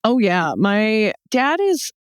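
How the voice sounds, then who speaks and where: Joking young American woman, smooth dry sound. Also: medium pitch, slow pace, dry recording. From Texas, United States. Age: young adult. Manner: animated, joking, quotes others in dialogue. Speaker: podcast guest, comedy show founder. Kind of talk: casual chat, humorous anecdotes.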